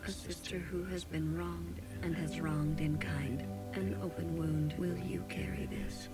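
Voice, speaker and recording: A woman playing a solemn, impassive judge in a video game, speaking in a formal, measured way. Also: low-pitched, fairly even intonation. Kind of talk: scripted video game dialogue. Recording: loud game music over the voice.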